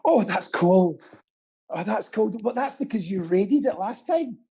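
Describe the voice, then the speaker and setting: A man's voice: sits high, expressive, unhurried, dark tone, slightly rough, muffled recording. A middle-aged British man co-hosting a live quiz, with casual banter and a theatrical habit of repeating phrases. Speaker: quiz co-host and pantomime dame performer.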